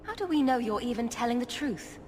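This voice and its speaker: A practical female adventurer from a fantasy game, voiced by a woman, speaks directly and with composure in a fairly high voice. Long reverb and faint noise surround her. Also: animated, a little fast, a little mellow, close to an everyday voice. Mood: measured. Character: resolute, practical female adventurer, protective companion. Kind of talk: dramatic video game voice acting. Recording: reverberant hall sound with background noise.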